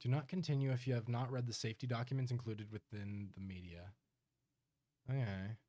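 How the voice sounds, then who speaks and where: A man's voice: mid-range voice, steady tone, fairly quick, mellow. A man doing casual live reaction commentary as a streamer, with a joking, sarcastic manner. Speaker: streamer reacting to horror videos.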